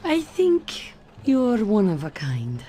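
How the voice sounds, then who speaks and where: A woman's voice: medium pitch, wildly swooping pitch, slow, mellow, a little hiss or hum. A woman playing a shrewd Soviet spy in a video game, speaking with a Russian accent in a guarded, wry way. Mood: amused, warmer. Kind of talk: video game cutscene voice acting.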